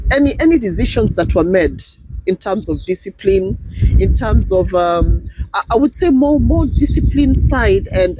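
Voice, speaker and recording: A middle-aged woman with a Kenyan accent, a guest chatting casually with many fillers and frequent laughs. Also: mid-range voice. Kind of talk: casual interview chat. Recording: noisy background.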